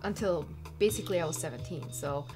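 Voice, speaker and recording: A woman's voice: low-pitched, fast talker. A young woman from Germany, a fashion blogger, chatting enthusiastically about her clothes in an interview, with lots of "like" and "you know". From Germany (Munich). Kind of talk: casual interview, showing her wardrobe. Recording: echoey room with music behind.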